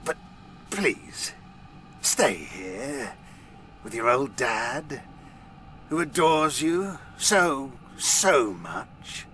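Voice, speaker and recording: A man's voice: medium pitch, big pitch swings, extremely slow, pauses often, bright, forceful tone, a little hiss or hum, exaggerated voice. An older actor playing a conniving, needy, melodramatic old father in an audio drama, wheedling and theatrical. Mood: wheedling, pleading.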